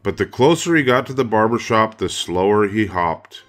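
A man's voice: on the low side, expressive, moderate pace, fairly bright tone, soft background music. An older man narrating a children's story from a script. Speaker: storybook reader.

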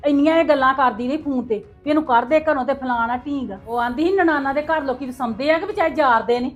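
A woman's voice: high-pitched, quick pace, highs cut off, faint music behind, lightly acted. An actress playing a worried middle-aged mother who lectures her daughter in a family drama, speaking in a reproachful way. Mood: scolding.

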